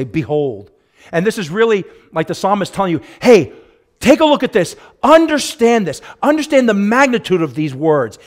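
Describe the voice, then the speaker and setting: A middle-aged man preaching a sermon at a church pulpit, emphatic and repeating phrases for weight, moving between hushed and raised delivery. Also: sits high. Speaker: pastor preaching. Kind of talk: sermon with scripture exposition.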